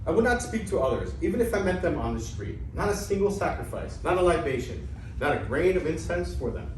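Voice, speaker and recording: A man's voice: a little high, sing-song, brisk. A man playing an earthy, bumbling, debt-ridden would-be student in a theatrical reading of an ancient Greek comedy, with exclamatory delivery. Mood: eager, pleading. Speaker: amateur actor in a staged play reading. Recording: echoey room, distant mic, background noise.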